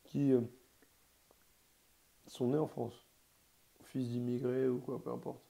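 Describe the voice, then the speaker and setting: A man's voice: mid-range voice, level tone, slow, gravelly, warm tone. A man in casual conversation, talking in a rambling, emphatic way with lots of slang. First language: Portuguese heritage, quasi bilingual.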